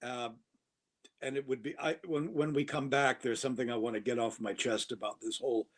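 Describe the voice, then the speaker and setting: Male actor as podcast guest, mid-range pitch, chatty, digresses, trips over openings. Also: fairly even intonation, fairly quick. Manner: chatty, digressive, with false starts. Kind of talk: casual podcast conversation.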